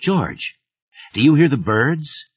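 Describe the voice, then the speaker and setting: Guy playing a wound-up man on the edge, urgent, husky, lively delivery. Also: moderate pace, heavily acted. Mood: agitated. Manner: pitched up, urgent and sharp. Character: tense, agitated man under strain. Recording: muffled lo-fi audio.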